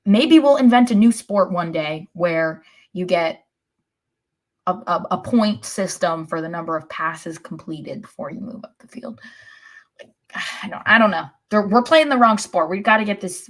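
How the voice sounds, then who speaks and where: A woman with a slightly low voice talks casually as a sports podcast co-host. Her delivery is sarcastic, with a dry sense of humor. The talk is informal and conversational. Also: moderate pace.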